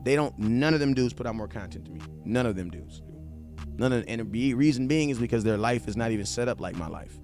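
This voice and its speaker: A man's voice: medium pitch, expressive, fast talker, over music. Laid-back slangy man in his forties. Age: 40s. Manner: loose slangy talk, addresses viewers directly, repeats himself for emphasis. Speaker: live streamer, sports fan host. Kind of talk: casual live-stream chat reading viewer comments.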